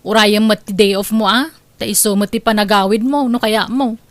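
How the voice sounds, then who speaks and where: A woman's voice: medium pitch, fairly even intonation, moderate pace, no room sound, close to an everyday voice. A woman playing a bossy, scheming housemaid who grumbles easily, in an Ilocano comedy drama. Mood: grumbling. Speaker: voice actress.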